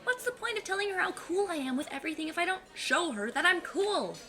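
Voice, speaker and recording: Audio drama teen girl, 15, played by a woman: high, animated, cocksure, precise and formal in her wording. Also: quick pace, exaggerated voice. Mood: stubborn, earnest. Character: plucky, cocky 15-year-old girl cursed to detect lies.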